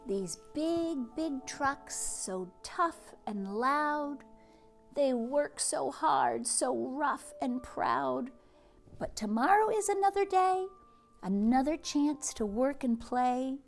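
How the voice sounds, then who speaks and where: A woman hosting a read-aloud for young children, speaking expressively and gently, with hushes and words that drop toward a whisper. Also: sits high, expressive, slow, faint music behind. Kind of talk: children's storybook read-aloud and chat to families.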